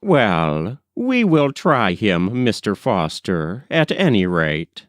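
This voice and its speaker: A man voicing a deliberate, formal, cautious lawyer in an audiobook story, with old-fashioned courteous phrasing. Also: lowish voice, wildly swooping pitch, dry recording, clean studio sound, exaggerated voice. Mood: cautious, admonishing. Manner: slow, formal, archaic thee and thy. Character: deliberate, formal Quaker-spoken male lawyer, cautious temper.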